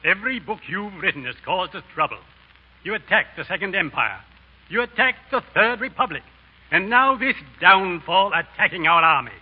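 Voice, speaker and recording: An actor playing a pompous, indignant government censor, stern and declamatory in 1930s radio drama style. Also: male voice, high-pitched, expressive, moderate pace, breathy edge. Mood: outraged. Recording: dull, lo-fi 1930s radio broadcast.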